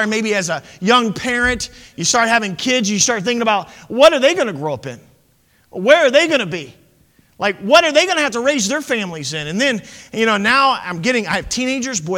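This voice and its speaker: Impassioned high-voiced preacher man in a reverberant hall. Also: sharp tone. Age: 30s. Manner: impassioned, conversational preaching with asides. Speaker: pastor. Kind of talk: sermon.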